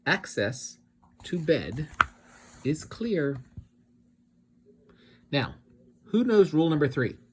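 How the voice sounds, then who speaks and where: Man voicing a fussy, animated monster schoolteacher. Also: fairly high pitch, takes it slowly, pauses often, a little hiss or hum, pitched above the performer's own voice. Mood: bossy, instructive. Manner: scolding, instructive, emphatic. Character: strict, fussy male monster teacher, long-necked and yellow. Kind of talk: children's storybook character voice.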